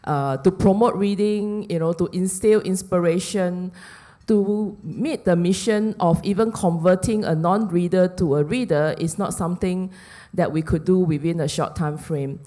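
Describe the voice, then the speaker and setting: Woman with a low-pitched voice, a steady, earnest forum guest. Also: moderate pace. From Singapore. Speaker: book sale founder, forum guest. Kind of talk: press forum talk. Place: hotel function room.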